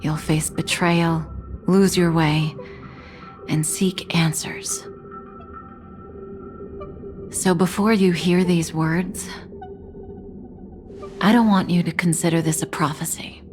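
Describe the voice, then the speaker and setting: Low, slow, enigmatic game heroine. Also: female voice, steady tone, music in the background. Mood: solemn, knowing. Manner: measured, solemn, hushed at times. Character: composed, enigmatic adult woman, tender and commanding. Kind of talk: video game voice acting.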